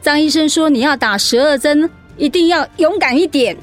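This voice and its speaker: An actress playing a steady, supportive friend in a radio drama, soothing and encouraging. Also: female voice, high voice, moderate pace, soft background music, close to an everyday voice. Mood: consoling. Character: supportive, steady female friend, comforting and practical.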